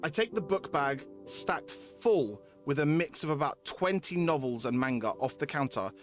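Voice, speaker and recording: Male streamer, fairly high pitch, informal and repetitive, talking to chat with music clearly behind him. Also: expressive, normal speed. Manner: repeats phrases while addressing chatters. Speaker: live game streamer. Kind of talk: casual stream chat mixed with reading visual novel text aloud.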